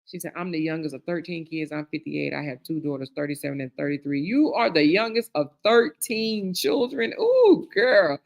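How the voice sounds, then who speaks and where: A woman's voice: low voice, very animated, moderate pace, gravelly, hardly any pauses. A middle-aged woman hosting a live stream, reading viewer comments aloud and reacting in a chatty way, calling people girl, honey and child. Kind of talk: casual chat.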